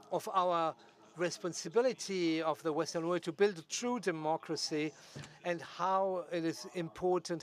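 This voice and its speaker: A man with a slightly high voice interprets a debate live. He delivers the sentences piece by piece, in a halting way.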